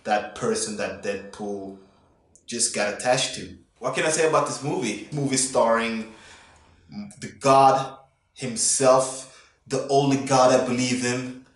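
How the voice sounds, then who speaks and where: A man's voice: medium pitch, a little slow, distant mic, a little hiss or hum. A man giving a casual, enthusiastic movie review vlog, rambling with fillers and restarts. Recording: very echoey room, away from the mic.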